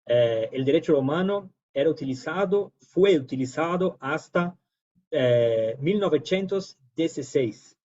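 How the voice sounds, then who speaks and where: Brazilian-accented man, native Portuguese speaker, guest lecturer, halting and full of fillers, mellow tone, slightly muffled audio. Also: fairly high pitch, lively intonation. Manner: hesitant, many fillers and restarts. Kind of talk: academic presentation.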